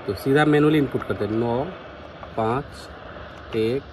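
Man, casual hands-on demo commentary, mid-pitched voice, faint noisy background. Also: measured pace. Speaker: vlogger demonstrating radios.

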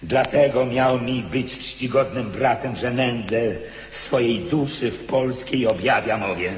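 A man's voice: medium pitch, slight background noise, close to an everyday voice. Actor as a proud rebel nobleman's ghost, echoing hall. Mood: defiant, scornful. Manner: declamatory, slow, impassioned. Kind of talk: theatrical radio drama acting. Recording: echoey hall with long reverb.

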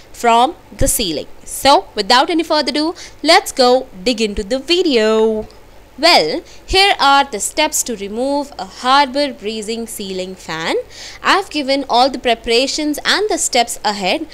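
A woman's voice: on the high side, fairly bright tone. A woman hosting a how-to channel, reading step-by-step instructions over slides with small slips and trailing line ends. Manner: reads steps aloud with small slips, falls off at line ends. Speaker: channel host.

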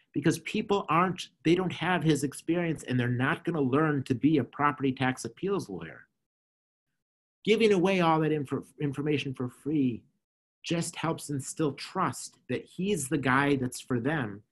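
Middle-aged man, nasal and slightly husky, earnest coaching tone, webcam audio. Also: medium pitch. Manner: earnest, coaching, repeats phrases. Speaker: interview guest, marketing consultant. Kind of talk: explaining advice in an online talk. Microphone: video call webcam.

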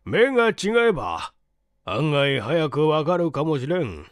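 A man's voice: fairly high pitch, sing-song, unhurried, no room sound. A man voicing an irascible, domineering old male scientist in a barking, imperious manner, for a story reading. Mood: irritated, barking.

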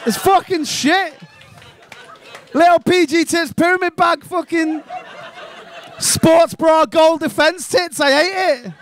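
A man doing an animated, crude stand-up set on a small comedy club stage into a handheld mic. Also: very high voice, takes it slowly, long pauses, a faint crowd, slight background noise. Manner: animated, crude, ranting and incredulous.

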